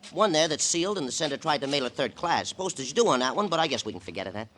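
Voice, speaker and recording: Brisk, bright, nosy postal clerk. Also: male voice, a little high, sing-song. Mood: nosy, chatty. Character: brisk, nosy, eager male post office clerk. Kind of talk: 1950s radio crime drama acting.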